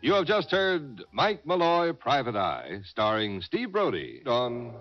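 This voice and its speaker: A man's voice: medium pitch, sing-song, takes it slowly, dull audio, faint music behind. A man hosting a 1950s radio show in a formal, declamatory announcer style on an old recording.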